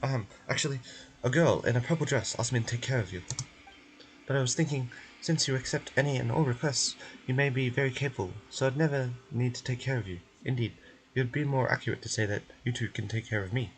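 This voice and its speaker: A man's voice: medium pitch, a little fast, a little hiss or hum, natural voice, low-quality recording. A man voicing a wordy, matter-of-fact scholarly client in a game, speaking formally.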